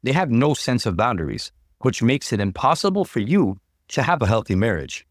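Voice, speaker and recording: A man voicing a calm female therapist in a narrated story, explaining in a measured way. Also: medium pitch, dry recording, studio quality.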